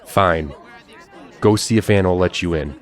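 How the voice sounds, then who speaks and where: A man playing a large, gruff, protective uncle standing guard in an audio drama. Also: sits low, moderate pace, lightly acted. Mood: gruff, relenting. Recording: crowd noise behind the voice.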